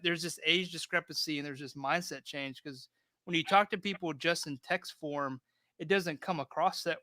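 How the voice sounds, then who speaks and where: Male livestream host, 30s, bright and crisp, relaxed rambling chat, dry sound. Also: a little high, no echo. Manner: laid-back, rambling, reads chat aloud.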